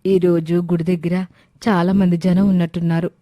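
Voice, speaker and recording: A woman's voice: lowish voice, little pitch movement, normal speed, warm tone, dry recording, lightly acted. A woman voicing a discouraged, anxious young orphan in a moral story. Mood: curious. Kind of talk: Telugu moral story character acting.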